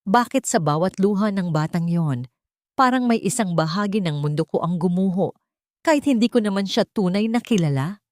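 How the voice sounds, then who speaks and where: A woman's voice: medium pitch, lively intonation, moderate pace, a little mellow, no echo, lightly acted. A woman voicing a humble, anxious servant woman, earnest and pleading, in an audiobook drama. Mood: anguished confusion.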